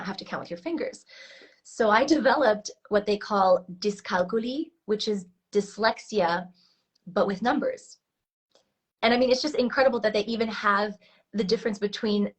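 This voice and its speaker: A woman in her thirties from Switzerland with an American accent, a coach talking casually and earnestly in an interview, with frequent fillers and restarts. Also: medium pitch. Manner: earnest, many restarts and fillers, laughs often. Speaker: coach, guest.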